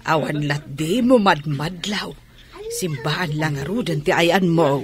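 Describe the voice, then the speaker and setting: A woman's voice: sits low, unhurried. A woman with an Ilocano accent playing an older mother, fretful and scolding, with sighing interjections in a radio drama. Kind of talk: Filipino radio drama acting.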